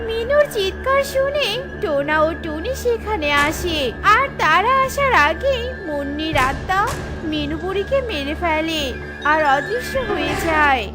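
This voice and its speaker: A woman's voice: extremely high pitch, expressive, measured pace, over loud music. A woman narrating a scripted children's cartoon story.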